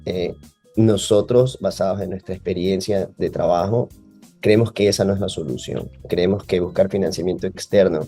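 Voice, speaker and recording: Deep-voiced Ecuadorian man explaining. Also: fairly even intonation, normal speed, mellow, breathy edge, soft background music. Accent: Ecuadorian Spanish. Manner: explanatory, uses many fillers. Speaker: guest. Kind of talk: podcast interview.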